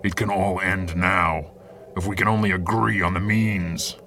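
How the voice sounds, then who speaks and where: A low-voiced villain of 1930s radio drama, with a gravelly edge. He speaks deliberately and formally, a persuasive organiser of schemes with a forceful delivery. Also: steady tone, a little hiss or hum, exaggerated voice. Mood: measured, persuasive. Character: male villain, measured organiser of a villains' alliance.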